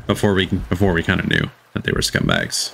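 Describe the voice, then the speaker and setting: A man's voice: low-pitched, very animated, fairly quick, creaky, fairly bright tone, slight background noise. A man giving casual live reactions as a streamer, sceptical and unimpressed. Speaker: streamer reacting to a games showcase.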